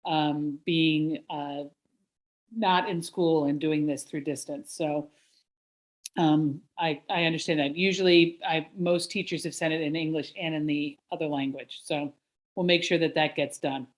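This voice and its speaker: A woman with a low voice answers a question over a video call, hesitant and full of fillers. She speaks as a teacher responding to a parent. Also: steady tone, measured pace. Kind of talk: casual online meeting talk.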